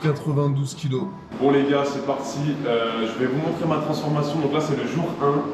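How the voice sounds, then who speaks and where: A man's voice: medium pitch, steady tone, a little fast, over music, low-quality recording. A man from Lyon with a French accent from France, chatting casually and enthusiastically to camera in slangy, informal vlog style. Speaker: vlogger.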